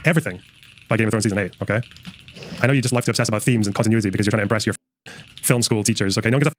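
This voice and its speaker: A man's voice: lowish voice, rapid-fire, a little hiss or hum, close to an everyday voice. A man playing a belligerent, pompous TV-show superfan in a comedic monologue, defensive and crude. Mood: belligerent, smug.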